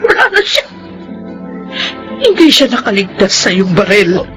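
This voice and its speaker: A woman's voice: medium pitch, huge pitch swings, unhurried, over music. An actress with a Filipino accent playing a grieving wife and mother, accusing and scolding in anguish in a radio drama. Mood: bitter grief.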